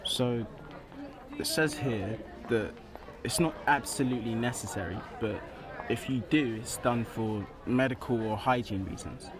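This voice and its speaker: An actor playing a bossy but supportive teenage friend in a naturalistic radio play, speaking in a casual, blunt, teasing way. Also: male voice, medium pitch, unhurried, crowd noise, natural voice.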